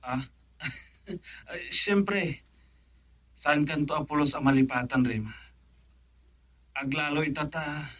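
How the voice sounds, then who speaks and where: A man's voice: a little high, slow, pauses often, fairly bright tone. An actor playing an eager, teasing man in radio drama dialogue.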